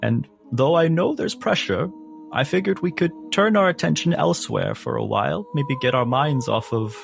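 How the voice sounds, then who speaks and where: A kindly old priest played by a man speaks with patient, teasing warmth to his pupil. The voice is lifted above the player's own, with a gravelly creak, over faint music in a tabletop game. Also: fairly high pitch, lively intonation, moderate pace, voice pushed up. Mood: gently encouraging.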